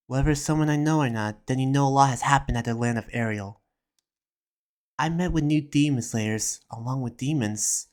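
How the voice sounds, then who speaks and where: A man voicing an earnest demon slayer who reads out his research journal in a reflective, halting way, as a dramatic roleplay monologue. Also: medium pitch, darkish tone, natural voice. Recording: music behind the voice.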